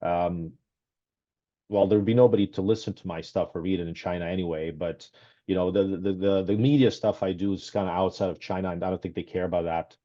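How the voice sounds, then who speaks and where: A man raised in Canada, speaking as a guest on a video call interview through a headset, talking casually with many pauses and restarts. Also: sits low, fairly even intonation, dark tone. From Serbia, raised in Canada. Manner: hesitant, many ums and restarts. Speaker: guest, author. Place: small room at home. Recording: dull lo-fi video call audio.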